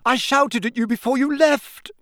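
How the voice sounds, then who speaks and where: A man reading an audiobook, voicing a middle-aged mother who is high-pitched, tearful and frantic with worry. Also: animated, takes it slowly, creaky, dry recording, heavily acted.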